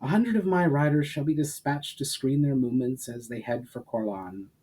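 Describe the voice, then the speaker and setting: A man's voice: medium pitch, moderate pace, warm tone, breathy edge, natural voice. Man reading as a confident fantasy cavalry leader. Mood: resolute.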